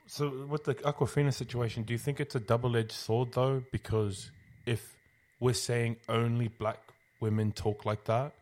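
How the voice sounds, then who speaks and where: A man's voice: medium pitch, fairly even intonation, normal speed, a little mellow. A man co-hosting a podcast, pressing his points with question after question in a casual debate. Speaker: podcast co-host.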